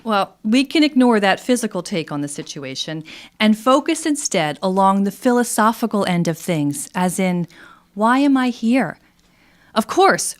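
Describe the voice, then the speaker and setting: A woman's voice: medium pitch, natural voice. A woman playing an inquisitive, easily exasperated amateur philosopher in scripted comedic radio theatre.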